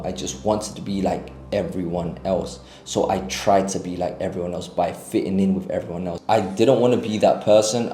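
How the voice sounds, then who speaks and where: A young man in his twenties with a London accent, telling his personal story unscripted to camera in an earnest, confessional way with long pauses. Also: low-pitched, warm tone, roomy sound. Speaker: self-improvement creator.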